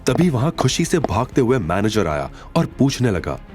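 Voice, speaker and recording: A man's voice: mid-range voice, big pitch swings, moderate pace, a little mellow. A man narrating a scripted audio drama in a dramatic storytelling style. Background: background music.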